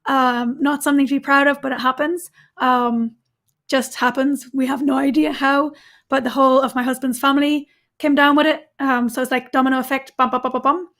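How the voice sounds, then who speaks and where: A woman guest on a live stream, talking casually with a hoarse, worn voice, laughing often and using lots of ums. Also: high-pitched, flat delivery, brisk, fairly bright tone. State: hoarse, recovering voice. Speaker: guest developer advocate.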